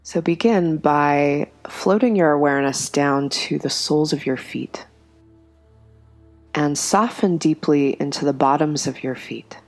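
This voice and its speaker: A woman guiding a yoga nidra meditation in a slow, soft, soothing way, with long pauses between phrases. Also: sits low, soft background music. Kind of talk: guided meditation.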